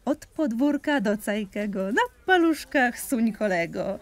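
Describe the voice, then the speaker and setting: Slow, higher-voiced woman reciting rhymes. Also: animated. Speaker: performer in an audio play. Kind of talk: theatrical rhymed verse recitation.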